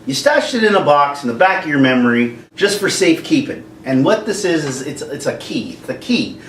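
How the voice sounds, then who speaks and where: A man hosting a casual rant to camera, jokey and animated, now and then slipping into silly singing. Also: a little high, fairly quick. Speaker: YouTube host. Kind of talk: ranting commentary to camera. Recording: echoey room, mic at a distance, faint background noise.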